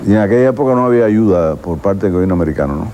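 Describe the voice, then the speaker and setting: A man's voice: deep voice, expressive, rapid-fire, warm tone, slight background noise. A man with a Cuban accent asking questions as a conversational documentary interviewer.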